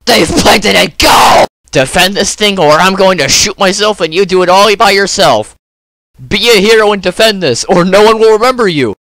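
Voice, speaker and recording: Theatrical man, high-pitched and wildly animated. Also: moderate pace, vocal fry, dry recording. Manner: exaggerated, theatrical, swearing outbursts. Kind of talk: comedic game voice lines in put-on character voices.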